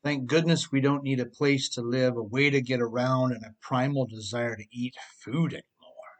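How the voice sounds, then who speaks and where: A man's voice: medium pitch, steady tone, moderate pace. A man narrating his own self-help book in a wry, conversational way, often putting rhetorical questions straight to the listener. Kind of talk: audiobook narration with conversational asides.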